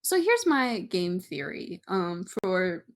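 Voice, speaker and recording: A young woman co-hosting a podcast in casual chat, giggly and animated, full of "like" and "um". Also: fairly low pitch, takes it slowly. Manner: giggly, filler-heavy, animated. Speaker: podcast co-host.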